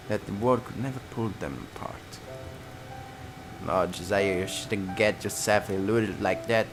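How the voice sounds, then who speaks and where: A man's voice: on the low side, vocal fry, rough recording. A man reading a visual novel aloud as a let's player, narrating dramatically and dropping into casual asides. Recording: guitar music behind.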